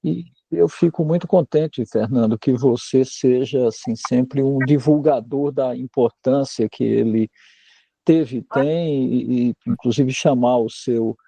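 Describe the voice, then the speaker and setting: A man from Alagoas, Brazil, lecturing on neurology over a video call. His voice is gravelly and very dark, and the sound is dry and close. He speaks in a measured, courteous way, full of fillers. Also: mid-range voice, no room sound, poor audio. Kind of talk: online lecture.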